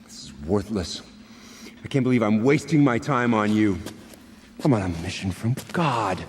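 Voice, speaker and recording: A man's voice: on the low side, lively intonation, moderate pace, lightly acted. Haughty clergyman, male actor: impatient, pompous game dialogue, reverberant hall, faintly noisy. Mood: contemptuous. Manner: pompous, scornful. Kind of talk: dramatic video game voice acting.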